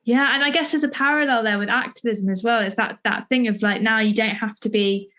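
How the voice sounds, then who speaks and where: A woman's voice: a little high, steady tone, brisk, a bit bright. Young southern English woman, hesitant phrasing. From Essex, England. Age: 20s. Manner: hesitant, searching phrasing with many hedges. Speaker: podcast interviewer and playwright. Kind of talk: casual interview chat.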